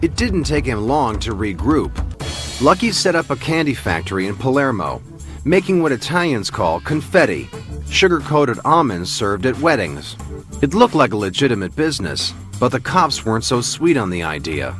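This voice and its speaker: A man narrating a documentary script in a measured, dramatic way. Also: mid-range voice, big pitch swings, a bit bright, faint music behind.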